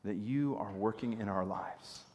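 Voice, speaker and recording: A man in his thirties preaching a sermon from a church stage, telling stories with animated gestures. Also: fairly low pitch, measured pace. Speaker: pastor. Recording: long reverb of a church hall.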